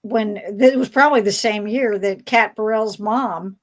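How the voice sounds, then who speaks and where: A woman's voice: medium pitch, normal speed. A woman hosting a casual fan panel, talking in a hesitant, rambling way as she searches for words. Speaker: panel host.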